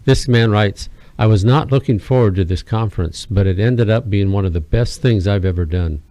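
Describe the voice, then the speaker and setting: A man co-hosting a call-in radio show, reading out a listener's letter in a steady, affirming way. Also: fairly low pitch, normal speed, dry recording. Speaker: radio co-host.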